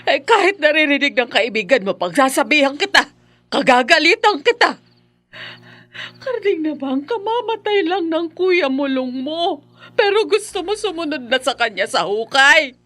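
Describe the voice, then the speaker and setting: A woman's voice: sits high, expressive, normal speed, a bit bright. A woman playing an anxious, scolding mother in a horror radio drama, melodramatic and reproachful.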